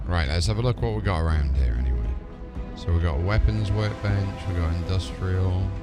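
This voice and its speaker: A British man with a deep voice commentates casually on a video game as he plays. He talks informally, as if thinking aloud to viewers. Loud music plays behind his voice throughout. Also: brisk. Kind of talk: casual live gameplay commentary.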